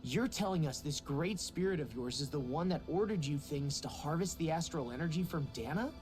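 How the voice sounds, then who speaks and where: A woman's voice: sits low, lively intonation, fairly quick, a little mellow, faint music behind. A woman playing a blunt, skeptical young adventurer in a video game, speaking in a challenging way. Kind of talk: video game cutscene acting.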